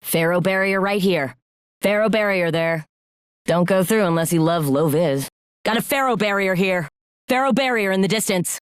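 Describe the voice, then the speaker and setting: A level-headed female game soldier , played by an actress, with a medium-pitched voice. Her callouts are practical and recorded close and dry. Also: moderate pace, smooth, dry recording, lightly acted. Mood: matter-of-fact. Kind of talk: video game character callouts.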